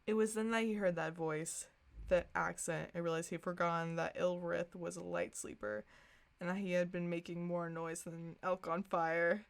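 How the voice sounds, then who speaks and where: A young woman with a fairly low voice and plenty of vocal fry. She co-hosts a podcast, reading aloud and talking in a loose, informal, amused manner. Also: little pitch movement, moderate pace. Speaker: podcast co-host. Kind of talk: casual reading aloud of fan fiction and chat.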